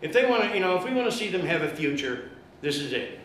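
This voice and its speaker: A man speaks loudly and fervently to a meeting, rallying the listeners and peppering his talk with fillers. His voice sits high. The room echoes heavily around him, with a faint hiss underneath. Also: sing-song, quick pace. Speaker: guest speaker at an activist meeting. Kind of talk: impassioned public speech.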